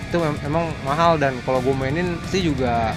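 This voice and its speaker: A man's voice: a little high, fairly quick. A young man, hosting a vlog in casual, nostalgic chat. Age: young adult. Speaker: YouTube vlog host. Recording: background music behind the voice.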